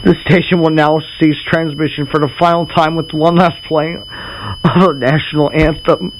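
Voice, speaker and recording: Man playing a halting doomsday broadcast official, noisy recording. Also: fairly high pitch, fairly even intonation, lightly acted. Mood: grave, resigned. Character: government representative giving a solemn doomsday farewell broadcast. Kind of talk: emergency broadcast announcement, amateur roleplay. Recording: noisy home recording with hum.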